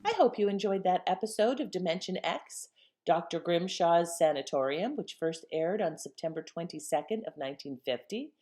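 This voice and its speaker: A woman's voice: fairly low pitch, expressive, moderate pace, warm tone. A woman hosting with informal, conversational commentary. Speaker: channel host. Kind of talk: casual spoken commentary.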